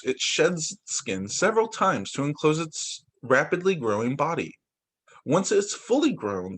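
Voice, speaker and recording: A young male teacher reads picture books aloud over a video call, his slightly high voice rising and falling in lively swoops. There is a faint husky edge to his voice, and he keeps stopping to add small surprised comments. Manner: reads aloud with asides and exclamations. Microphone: computer mic on a video call.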